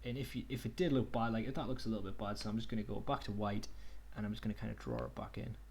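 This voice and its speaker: A young man casually talking through a screen-share tutorial on a video call, relaxed and chatty. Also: fairly low pitch, very fast, creaky. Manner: relaxed, chatty, says "okie dokie" and "kind of". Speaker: online workshop tutor.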